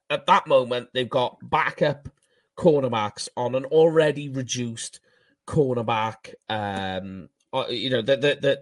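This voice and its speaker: A Welsh man with a Welsh accent co-hosting a fan podcast, talking football in a casual, animated way and laughing at himself. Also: mid-range voice, dry recording. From Wales. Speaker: podcast co-host. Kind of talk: casual sports chat.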